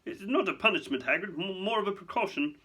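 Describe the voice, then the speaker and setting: A man performs a portly government minister from a storybook. His voice is raised and bright, with clipped, fussy phrases and anxious self-importance. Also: high-pitched, expressive, quick pace, slightly rough, pitched above the performer's own voice, exaggerated voice. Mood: uncomfortable.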